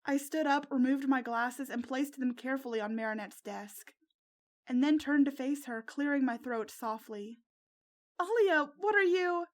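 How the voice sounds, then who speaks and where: A woman reading fan fiction aloud as an expressive first-person story narrator. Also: high-pitched, normal speed.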